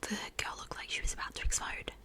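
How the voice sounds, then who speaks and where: A woman reading a teen novel aloud in a whispered, ASMR-like style with a breathy voice. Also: fast. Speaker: reader of a story.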